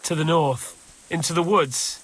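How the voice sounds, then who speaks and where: An actor playing a shaken, bitter male raid survivor in a video game, speaking haltingly. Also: on the high side, fairly bright tone, faint music behind, poor audio. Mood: subdued, bitter. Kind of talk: video game dialogue acting.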